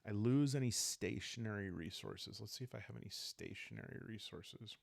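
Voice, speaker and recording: A man calmly thinking aloud in an unhurried, casual way as he plays and narrates a solo roleplaying game. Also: lowish voice, creaky, no room sound, studio quality. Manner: calm, unhurried, musing, meant for relaxed listening. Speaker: host and solo player of a journaling roleplaying game.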